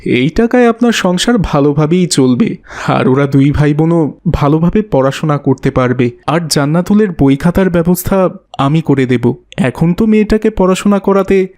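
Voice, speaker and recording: A man's voice: a little high, lively intonation, moderate pace, a little mellow, close to an everyday voice. A man voicing a kindly, concerned schoolteacher in a cartoon, explaining things earnestly and politely. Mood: reassuring. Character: concerned, helpful schoolteacher, a kindly family friend. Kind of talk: scripted cartoon dialogue.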